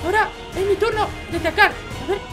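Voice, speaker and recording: An excitable gamer with a very high, childlike, cartoonish voice, chatting during Minecraft gameplay and often repeating words rapidly. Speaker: video game player and channel host. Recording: music behind the voice.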